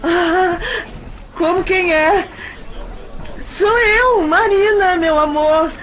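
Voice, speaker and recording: A Brazilian woman playing an emotional, heartbroken young woman in an audio novel, with halting, deliberate delivery. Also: very high-pitched, a bit bright, some chatter behind, a little hiss or hum, heavily acted. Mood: feigned cheer, nervous. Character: heartbroken, desperate young woman feigning cheer.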